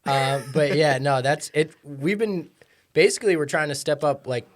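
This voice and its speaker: A man's voice: fairly high pitch, normal speed. Rambling, filler-heavy male podcast guest. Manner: rambling and explanatory, full of "like" fillers. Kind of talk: casual chat.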